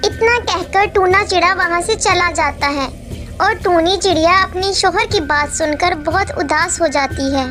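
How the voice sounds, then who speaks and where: A woman's voice: very high voice, unhurried, a bit bright. A woman reading scripted narration for a children's story as the storyteller. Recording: echoey long reverb over music.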